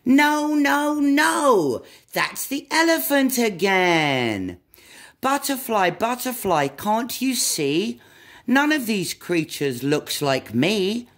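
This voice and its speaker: A woman's voice: fairly high pitch, very animated, slow, voice pushed up, full character voice. A woman reading a storybook aloud as a lost, upset young monkey who protests in exasperation. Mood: indignant.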